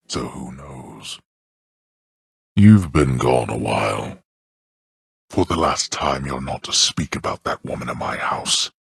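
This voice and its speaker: A man playing a stern, scornful old god of the underworld in a video game, speaking with pompous, archaic, disdainful diction and a very deep, gravelly voice. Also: a bit bright, dry recording, studio quality, heavily acted. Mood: mocking, then stern, forbidding. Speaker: voice actor. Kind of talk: theatrical scripted video game character lines.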